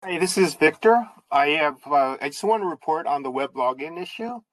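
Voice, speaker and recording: A man's voice: fairly high pitch, animated, normal speed, dry recording. A man calling into a public meeting to report a technical issue, step by step and matter-of-fact. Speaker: public commenter.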